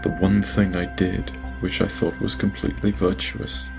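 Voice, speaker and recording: Man acting a shaken, low, gravelly-voiced lord. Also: flat delivery. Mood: distressed, remorseful. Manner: halting, stammering, broken off with sighs. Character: shaken, guilt-ridden lord dictating a private log. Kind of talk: audio drama acting, personal log monologue. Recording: music behind the voice. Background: music.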